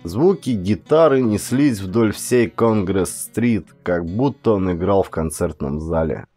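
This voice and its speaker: A man reading a story as an audiobook narrator, in an expressive, dramatic storytelling manner. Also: sits low, measured pace, faint music behind.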